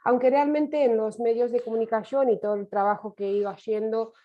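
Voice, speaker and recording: A woman whose first language is Romanian gives an online lecture in a reflective manner, pausing and restarting often. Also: fairly high pitch, steady tone, muffled recording. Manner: reflective, with many hesitations and restarts. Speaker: course speaker. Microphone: video call.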